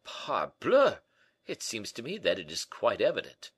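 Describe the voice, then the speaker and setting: A man voicing a shrewd, brisk gentleman in an audiobook, animated, questioning and a little impatient. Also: lowish voice, breathy edge, no echo, pitched above the performer's own voice, exaggerated voice. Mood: astonished. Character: D'Artagnan, brisk, shrewd, exclaiming gentleman friend. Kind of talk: audiobook character acting.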